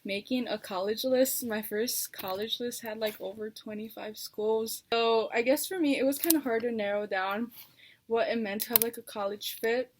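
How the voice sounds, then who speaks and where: A woman's voice: fairly high pitch, steady tone, normal speed, slight background noise. A young woman student thinking aloud in a chatty way, with many filler words. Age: young adult. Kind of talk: casual personal account.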